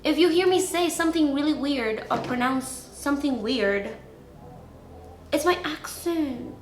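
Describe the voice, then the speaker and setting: A twenty-year-old woman with a Latin American Spanish accent, a native Spanish speaker, chatting excitedly and slangily to camera in a casual beauty vlog. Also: high-pitched, a little slow, slight background noise. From Venezuela, grew up in the Dominican Republic. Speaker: beauty YouTuber. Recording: roomy echo.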